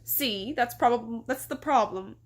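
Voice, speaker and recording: A pompous storybook mayor with a British accent, acted out by a woman reader. He drags his words out with sneering condescension and is quick to show irritation. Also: fairly high pitch. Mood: condescending. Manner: drawn-out, condescending delivery.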